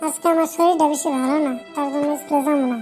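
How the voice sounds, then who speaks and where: A woman's voice: sits high, steady tone, rapid-fire, over music, lightly acted. A woman voicing a cheeky, argumentative cartoon schoolboy with an eastern Bengali accent, always pleading and making excuses. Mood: whiny pleading. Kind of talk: comic cartoon dialogue.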